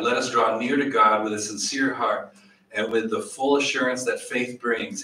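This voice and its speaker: A middle-aged man teaching a Bible study in a small church room, animated, with questions for the audience. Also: medium pitch. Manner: animated, gestures widely, asks the audience questions. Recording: echoey room, voice from far off.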